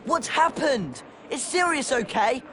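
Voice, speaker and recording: A man's voice: very high-pitched, lively intonation, unhurried, bright, forceful tone, a little hiss or hum, exaggerated voice. A determined young boy wizard. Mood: urgent. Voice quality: earnest and determined, with bursts of urgency. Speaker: voice actor. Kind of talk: video game cutscene acting.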